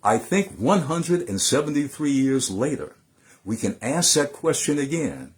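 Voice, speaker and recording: An American man speaks as an orator giving a dramatic reading. His pace is slow and deliberate, with pauses for weight. His medium-pitched voice rises and falls widely as he stresses key words in a theatrical, emphatic way. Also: very animated. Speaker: political analyst giving a dramatic speech reading.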